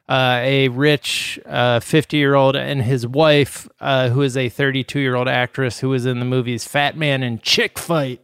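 A man's voice: mid-range voice, flat delivery, unhurried, hardly any pauses, a bit bright, clear, resonant tone, dry recording, clean studio sound. A man co-hosting a casual podcast, relaying a news story with many hesitations. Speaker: podcast co-host.

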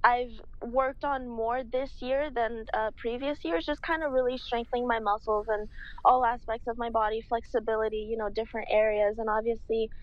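A young woman in her twenties answers interview questions in a slightly raised pitch. She speaks slowly and thoughtfully, filling pauses with ums. Her audio is dry and muffled, like a remote call. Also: steady tone, no echo. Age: 20s. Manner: measured, slow, many fillers. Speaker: guest, pro tennis player.

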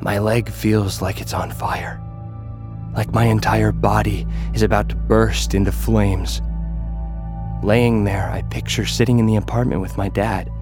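A man performing a grim, battered young arena fighter telling his story in audio fiction. His voice sits on the low side, terse and intense in the present tense. Music runs prominently beneath every line. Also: steady tone, unhurried, close to an everyday voice. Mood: anguished. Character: battered young male gladiator influencer, grimly intense. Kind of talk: first-person audio fiction narration.

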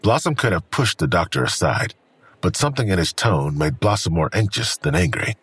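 A man's voice: low voice, sing-song, a little fast, vocal fry, a bit bright, breathy edge, dry recording. A man reading scripted third-person narration for an audio drama.

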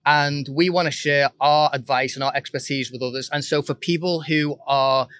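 A man with a Liverpool accent, a pastor from England, chatting in a friendly, gently joking way as a podcast guest. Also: fairly high pitch, steady tone, normal speed, clean tone, no echo, clean studio sound. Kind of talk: casual chat.

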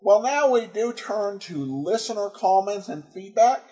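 High-voiced male podcast host. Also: animated, dark tone. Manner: halting, searching for words. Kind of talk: informal episode commentary.

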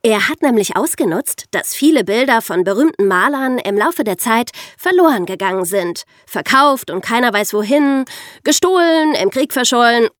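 A woman's voice: fairly high pitch, expressive, moderate pace, dry recording, clean studio sound. A woman co-hosting a podcast, explaining things conversationally and addressing the listeners directly. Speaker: podcast co-host.

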